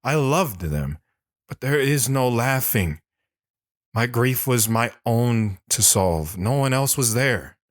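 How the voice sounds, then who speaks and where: A man playing a guarded, stoic wandering veteran in an audio drama, telling his story in a somber, measured way with short, plain sentences. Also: mid-range voice, expressive. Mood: somber, reflective. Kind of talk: dramatic first-person audio drama narration. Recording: music behind the voice.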